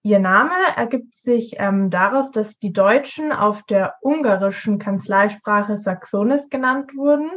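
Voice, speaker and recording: Female, early twenties, student podcast co-host, informative delivery, average pitch, dull recording. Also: a little slow, hardly any pauses. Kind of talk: prepared explanatory podcast talk.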